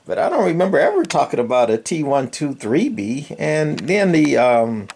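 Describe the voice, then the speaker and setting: An older man with a gravelly voice, giving a casual, rambling hobbyist walkthrough with folksy, dryly sarcastic asides. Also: fairly high pitch, big pitch swings, normal speed, a little mellow. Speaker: radio hobbyist presenter.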